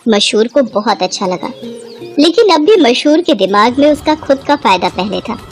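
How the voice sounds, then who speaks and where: Female narrator, high-pitched, very animated intonation, scripted moral tale, expressive, soft music behind. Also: normal speed.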